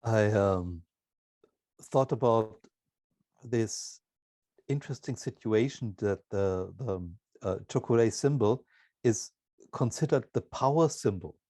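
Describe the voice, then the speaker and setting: A man with a mellow, medium-pitched voice speaks very slowly in a dry, close sound. He interviews reflectively, setting out his thoughts in short, halting phrases. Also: no echo.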